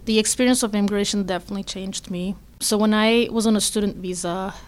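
A woman in her thirties from Turkey, telling her life story in an interview in a relaxed, reflective way. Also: mid-range voice, fast, clear, resonant tone. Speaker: interview guest.

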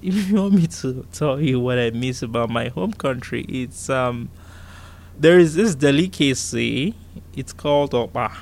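A man's voice: mid-range voice, expressive, measured pace, darkish tone. A man in his thirties from Nigeria, telling his life story in an interview in an earnest, reflective way and repeating points for emphasis. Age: 30s. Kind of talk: recorded personal interview.

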